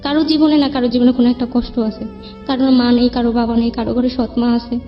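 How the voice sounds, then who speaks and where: Young female guest, Bangladeshi accent, highish voice, informal run-on personal storytelling, music underneath. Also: flat delivery, quick pace. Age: young adult. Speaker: guest telling her life story. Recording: dull lo-fi, long reverb, music behind.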